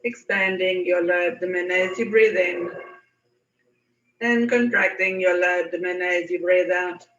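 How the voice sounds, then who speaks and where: A woman leading a guided meditation in a soothing manner, with unhurried pauses and repeated phrases. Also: fairly low pitch, a bit bright. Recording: muffled, echoey, distant from mic.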